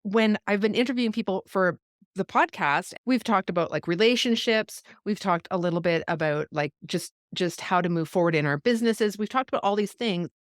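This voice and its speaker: Woman hosting a podcast, mid-pitch voice, chatty and thoughtful, dry close mic. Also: brisk, no room sound. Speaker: podcast host. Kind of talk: casual podcast interview.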